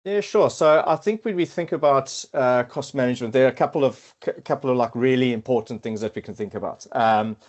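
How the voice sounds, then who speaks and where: A man's voice: medium pitch, fairly quick, hardly any pauses, darkish tone. A middle-aged man with a South African accent, a podcast guest talking casually and hesitantly, with many fillers and restarts. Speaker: podcast guest, quantity surveyor. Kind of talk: casual interview chat.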